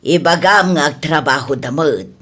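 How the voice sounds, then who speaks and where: A woman acts out a nosy neighbour in a radio drama. The voice is lowish, with a gossipy, disapproving, scolding delivery. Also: normal speed, a bit bright.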